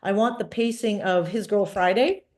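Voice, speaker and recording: A woman in her sixties, born in Trinidad and raised in Vancouver, speaking as a filmmaker guest in a casual interview, with an animated storytelling manner. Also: medium pitch, moderate pace. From born in Trinidad, grew up in Vancouver. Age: 60s.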